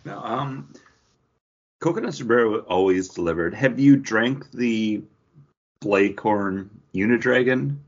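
A man's voice: medium pitch, lively intonation, slow. A middle-aged man co-hosting a podcast in loose, casual conversation. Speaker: podcast co-host. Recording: dull, lo-fi sound.

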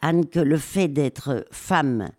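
This is an older woman speaking at an unhurried, deliberate pace, her voice mellow and dark. She pauses often and fills the gaps with hesitations as she recounts her experiences in a reflective interview. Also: low voice. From raised in Spain. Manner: slow, hesitant, many pauses and fillers. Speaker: interview guest, war photographer.

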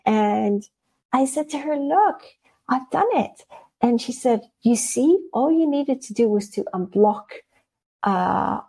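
A woman from the Netherlands, telling personal stories in a casual, animated, halting way as an interview guest. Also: on the high side. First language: Dutch. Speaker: interview guest, spiritual channeler. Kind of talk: casual conversational storytelling.

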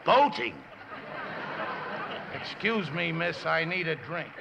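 An actor playing a rough, hard-drinking, uneducated riverboat skipper who blusters and whines in folksy, ungrammatical talk, in a 1950s radio drama. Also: male voice, a little high, sing-song, slow pace, loud music behind the voice, full character voice. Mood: uneasy. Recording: noisy, dull 1950s radio recording.

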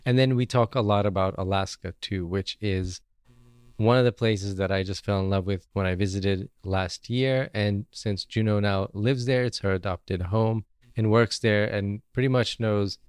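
A man hosting a travel podcast, speaking in a relaxed, friendly way with frequent fillers. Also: fairly low pitch, smooth, no room sound, studio quality. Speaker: podcast host. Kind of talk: casual podcast interview.

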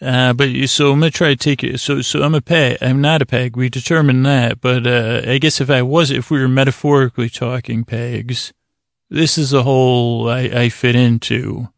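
Creaky-voiced man rambling softly to soothe. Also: mid-range voice, steady tone, no echo, clean studio sound. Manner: meandering, digressive, halting with pauses; soothing, lulling delivery. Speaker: podcast host. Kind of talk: rambling bedtime sleep podcast monologue.

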